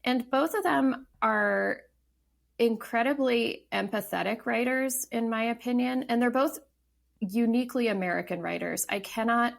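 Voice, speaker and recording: A woman's voice: fairly high pitch, normal speed, gravelly. A woman in her thirties, a novelist talking casually as a podcast guest, thoughtful, with many fillers and restarts. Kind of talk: casual interview talk.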